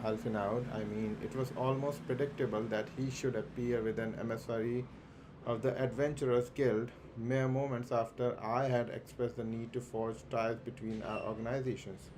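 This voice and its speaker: A man streaming a game, reading the on-screen dialogue aloud slowly and plainly, with stumbles and restarts. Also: medium pitch, flat delivery, noisy background.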